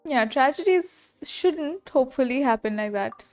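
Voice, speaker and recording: A woman's voice: sits high, expressive, moderate pace. A woman streaming a game playthrough, giving casual live reactions. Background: game music.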